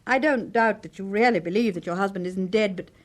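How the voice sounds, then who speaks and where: A woman playing a detective's sharp-witted English wife in a radio drama, poised, arch and gently mocking. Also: mid-range voice, a little fast, lightly acted. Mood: insistent, curious.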